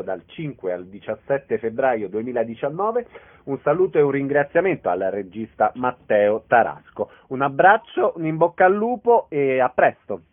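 A man hosting a radio theatre programme, speaking in a courteous, measured announcer manner. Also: mid-range voice. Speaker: radio theatre show host. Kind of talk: radio interview and chat. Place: radio studio. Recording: narrow phone-like radio audio.

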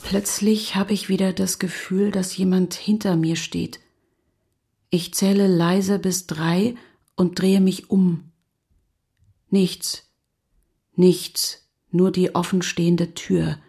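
An actress playing a weary, uneasy woman alone in a forest in a radio play, her voice breathy, hushed and halting. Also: fairly low pitch, flat delivery, full character voice. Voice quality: breathy, husky, half-whispered. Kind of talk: German radio play, close inner narration.

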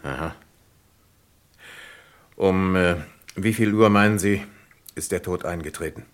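A man playing a courteous, probing police inspector who hums thoughtfully in an old German radio crime drama. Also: low-pitched. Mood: probing, calm. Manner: deliberate questioning with thoughtful hums.

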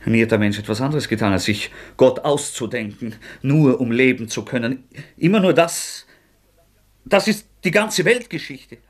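Husky, tormented young murderer, played by a man. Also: medium pitch, expressive, natural voice. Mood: tormented, scornful. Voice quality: husky, creaky, often breaking into whispers. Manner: agitated, anguished, halting outbursts. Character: tormented young man confessing murder, defiant, proud, unravelling. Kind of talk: German radio drama acting.

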